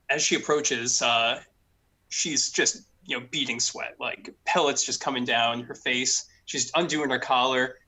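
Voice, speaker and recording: A man's voice: medium pitch, pushed and bright, poor audio. A man playing a tabletop game, casually improvising a description of his character and the scene.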